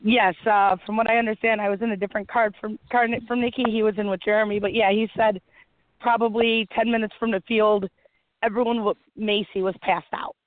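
A woman's voice: medium pitch, flat delivery, fairly quick, no echo. A woman from the Chicago area calling in, chatting about a family road trip in an easygoing, rambling way. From Illinois, Chicago area. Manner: easygoing, self-deprecating, rambling. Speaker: caller, parent. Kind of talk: casual chat. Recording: tinny, dry phone line.

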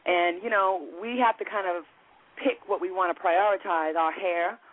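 A woman's voice: fairly low pitch, normal speed. A woman in her late thirties, a guest talking casually and frankly, full of "you know" and "like". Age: 30s. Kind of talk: casual interview chat. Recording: tinny phone-line sound.

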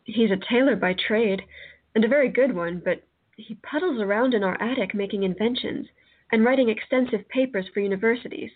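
A woman's voice: fairly high pitch, fairly quick, close to an everyday voice. An actress playing an earnest, bewildered young woman in a radio play, speaking in an open, questioning way. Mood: worried, earnest. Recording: thin phone-like audio.

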